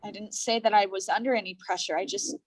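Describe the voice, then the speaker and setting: A woman's voice: medium pitch, fast, close to an everyday voice, low-quality recording. A woman acting as a guarded, stubborn young actress in a staged play reading, with a defensive, blunt manner.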